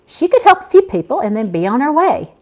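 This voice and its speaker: A woman author reading her own novel aloud in expressive scripted narration. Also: sits high, a little fast, poor audio.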